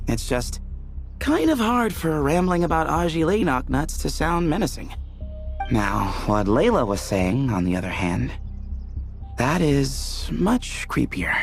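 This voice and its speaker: A man's voice: a little high, big pitch swings, measured pace, pauses often, faint music behind. A man voicing a laid-back, teasing young temple leader who chuckles and jokes in game cutscene dialogue. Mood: easygoing.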